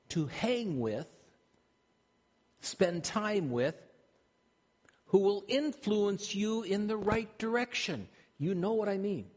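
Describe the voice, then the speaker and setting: An older man from Minnesota with a Minnesotan accent, preaching a sermon in a conversational way with frequent restarts. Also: high-pitched. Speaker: pastor. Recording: long hall reverb.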